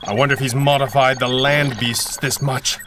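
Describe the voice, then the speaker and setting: Guy acting as a 1930s radio superhero, calm and wry, mid pitched male voice, lots of noise behind. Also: moderate pace, close to an everyday voice. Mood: grave, determined. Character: Red Panda, cool-headed masked 1930s male superhero. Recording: clearly noisy background ambience.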